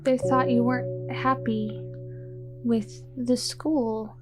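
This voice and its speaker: A woman's voice: fairly high pitch, steady tone, takes it slowly, over music. A woman voicing a timid young girl who speaks meekly and apologetically. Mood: gentle. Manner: meek, apologetic.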